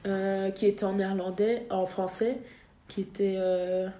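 A woman's voice: medium pitch, flat delivery, a little slow, dark tone, echoey room. A young woman from Belgium, a podcast guest talking casually, hesitating with many fillers and restarts. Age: young adult. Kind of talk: casual interview.

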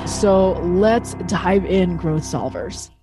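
A woman's voice: lowish voice, takes it slowly, over music, rough recording. A woman from Indonesia hosting a solo podcast, talking straight to her listeners in an emphatic way, often repeating words for stress. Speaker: podcast host.

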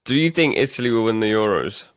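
A man's voice: lowish voice, animated, fast, clear, resonant tone. A man hosting a football podcast, asking guests questions in an upbeat, emphatic way. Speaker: podcast host. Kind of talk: casual sports chat.

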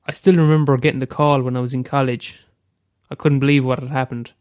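A man's voice: mid-range voice, flat delivery, brisk, darkish tone, clean tone, dry recording, studio quality. A young Irish man with a head cold, reading aloud a short story he wrote, after a hesitant casual introduction. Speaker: amateur storyteller.

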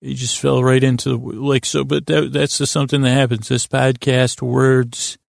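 A man hosting a bedtime podcast in a rambling, improvised monologue, stumbling over and restarting his words in a gravelly voice. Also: mid-range voice, little pitch movement, normal speed, no room sound, clean studio sound. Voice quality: gravelly, creaky voice. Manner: meandering, stumbling and stuttering, trailing off mid-word. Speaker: podcast host.

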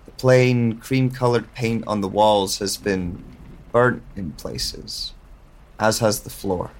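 A man plays a lost amnesiac narrating his own audio drama. His voice sits low and moves very slowly, pensive and halting as he searches for answers. Clear background ambience surrounds him. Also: fairly even intonation, slight background noise. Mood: wary, observing.

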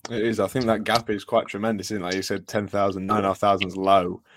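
A man's voice: lowish voice, level tone, fast talker, slight background noise. A man hosting a casual football podcast, rambling with restarts and fillers. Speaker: podcast host.

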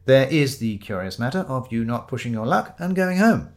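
A man's voice: mid-range voice, big pitch swings, moderate pace. A man voicing a curt, exasperated female police inspector in a story, with a dry, firm manner.

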